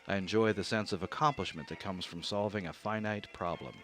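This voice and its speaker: A man voicing a fussy, precise accountant who narrates in a measured, dry way. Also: low voice. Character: fussy, precise middle-aged male accountant, rigid and easily rattled. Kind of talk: radio drama narration. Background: soft music.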